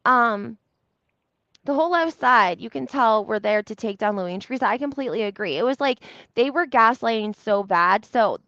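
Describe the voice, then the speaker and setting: A woman's voice: fairly high pitch, animated, normal speed, a bit bright, dry recording. A woman giving casual gossip commentary as a video host, speaking emphatically and often repeating herself for effect, on a slightly lo-fi recording. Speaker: YouTube host recapping reality TV. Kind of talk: casual gossip commentary, talking to live audience.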